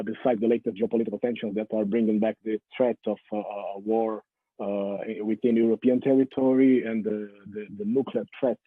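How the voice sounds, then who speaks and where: Man on a husky tinny call line. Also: on the low side, no echo. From Brazil. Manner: halting, many fillers and restarts. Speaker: guest artist. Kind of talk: panel discussion. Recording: muffled phone-like line, dry.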